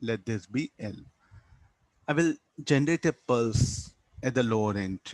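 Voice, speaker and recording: Indian guy teaching physics class, mid pitch, asks questions then answers them, pretty dry recording. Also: lively intonation, unhurried, dry recording. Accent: Indian English. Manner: didactic, asks and answers own questions, tags statements with "no?". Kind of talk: classroom physics lecture, working through a problem.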